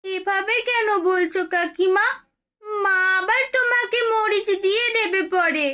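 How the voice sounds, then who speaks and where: Cartoon daughter role, woman performer: tiny, very high-pitched girl, sharp and bright, earnest and affectionate. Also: unhurried, heavily acted. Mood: polite, pleading. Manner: pleading, childlike, eager. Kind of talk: cartoon fairy tale dialogue.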